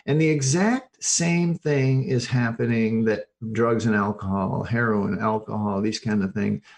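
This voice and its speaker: Mature man explaining with halting emphasis. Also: fairly low pitch, fairly even intonation, darkish tone. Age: middle-aged or older. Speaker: interview guest, author. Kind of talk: informal explanatory talk.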